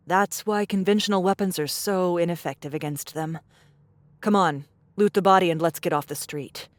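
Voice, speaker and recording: A woman's voice: lowish voice, dry recording, lightly acted. A woman playing a tough, matter-of-fact sci-fi fighter, cool and dry, in an audiobook drama.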